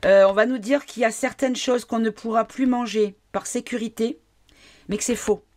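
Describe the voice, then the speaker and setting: A woman's voice: a little high, steady tone, normal speed, darkish tone. Woman with a cold reading cards. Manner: hesitant, many fillers, questions the cards aloud. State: has a cold. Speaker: tarot card reader. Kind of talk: casual card reading to viewers.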